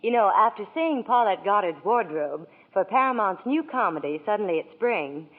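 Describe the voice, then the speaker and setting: A woman's voice: mid-range voice, animated, moderate pace, natural voice. A woman playing a chatty Hollywood reporter in a 1940s radio broadcast, on an echoey lo-fi recording. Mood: gushing. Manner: gushing, chatty. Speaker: Hollywood reporter in intermission segment. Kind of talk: scripted 1940s radio promo.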